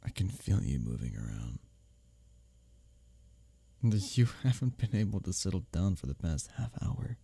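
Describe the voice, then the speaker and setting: A man acting as a sardonic male office rival in an intimate ASMR roleplay. His voice is low and husky, and it swings expressively as he needles you, with a caring streak underneath. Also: sing-song, a little fast, warm tone, exaggerated voice. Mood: gently concerned. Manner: intimate, teasing, sardonic, chuckling between lines. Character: teasing, bickering male workplace rival, secretly caring. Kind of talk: ASMR audio roleplay, one-sided dialogue.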